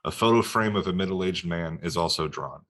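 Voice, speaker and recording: A man's voice: low voice, fairly quick, fairly bright tone, a little hiss or hum. A man narrating screenplay stage directions in a scripted table read.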